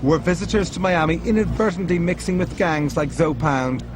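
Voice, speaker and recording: A fairly high-voiced man reads scripted documentary narration in the first person, steady and measured. A prominent music score plays under his studio voice-over. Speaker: documentary presenter.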